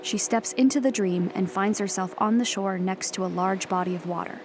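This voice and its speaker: A woman's voice: mid-range voice, normal speed, over music. A woman narrating an audio play, reading stage directions as scripted dramatic narration.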